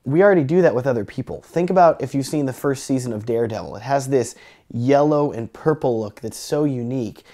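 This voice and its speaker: A young man with a slightly raspy, cold-roughened voice, teaching color grading in a chatty, joking tutorial. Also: mid-range voice, fairly quick, warm tone. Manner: joking, chatty, self-deprecating. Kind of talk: casual tutorial talk.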